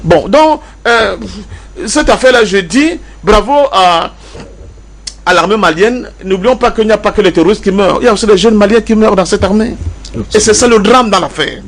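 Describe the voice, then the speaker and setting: A man's voice: sits high, normal speed. A man from Cameroon with a Cameroonian accent giving political commentary as a debate panelist, emphatic, repeating key phrases and asking rhetorical questions. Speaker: panelist in a pan-African debate.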